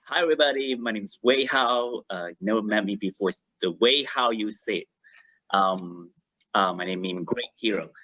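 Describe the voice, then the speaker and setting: Man with a Malaysian accent, low and bright, hesitant delivery, muffled dry recording. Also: normal speed, dry recording. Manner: hesitant, many fillers, gentle. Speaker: life coach, panelist. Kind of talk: self-introduction.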